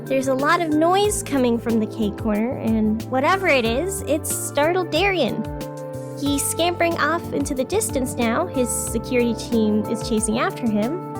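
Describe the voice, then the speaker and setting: A woman playing a whimsical community radio host who delivers absurd scripted town news in a playful, deadpan way. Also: high voice, moderate pace, over music. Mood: wry, dramatic. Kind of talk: scripted fiction podcast, community radio announcements.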